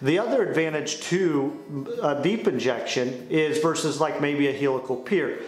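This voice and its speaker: A man's voice: a little high, moderate pace, faint music behind. A young man presenting a scripted company explainer to camera in a small indoor studio. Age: young adult. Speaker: sales director presenting a company explainer video. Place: small indoor studio with dark wall panels. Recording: roomy echo on the voice.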